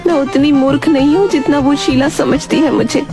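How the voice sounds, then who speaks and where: A woman voicing a naive, stubborn talking cow in an earnest, plaintive way for an animated children's story. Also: high-pitched, moderate pace, music in the background, voice pushed up, lightly acted. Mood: suspicious.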